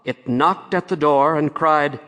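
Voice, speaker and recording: A man narrating a fairy-tale audiobook in a measured storytelling way, with long reverb on the recording. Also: on the high side, bright, forceful tone.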